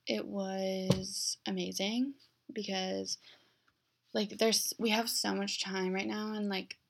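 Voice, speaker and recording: A young woman talks casually on her own podcast in an even, monotone delivery that sounds less enthusiastic than she means. Her voice has a gravelly vocal fry, and her speech is filled with "like". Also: mid-range voice, slow, slight background noise. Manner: heavy use of "like" as a filler. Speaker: podcast host. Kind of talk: casual solo podcast monologue.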